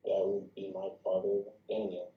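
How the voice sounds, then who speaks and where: A man's voice: sits low, monotone, normal speed, slight background noise, natural voice. Man playing a calculating father, muffled echoey sound. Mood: calm, calculating. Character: smooth, calculating powerful father in his fifties. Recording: dull, echoey, distant call audio.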